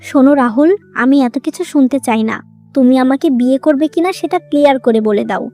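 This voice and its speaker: A woman as a high-voiced assertive young girlfriend. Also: fast, mellow, soft background music. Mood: demanding. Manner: assertive, questioning. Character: demanding, modern young girlfriend, blunt and assertive. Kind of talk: dramatic cartoon dialogue.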